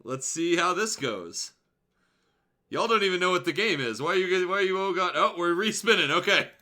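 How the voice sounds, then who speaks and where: A man's voice: high-pitched, lively intonation, moderate pace, sharp tone. A man streaming games as show host, chatting casually with wry, sweary remarks. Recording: music playing faintly behind the voice.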